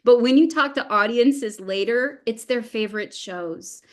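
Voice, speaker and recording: A woman's voice: on the high side, a little slow. A woman speaking as a podcast guest, earnest and encouraging, in a reflective discussion. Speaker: podcast guest, improv performer. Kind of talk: casual discussion.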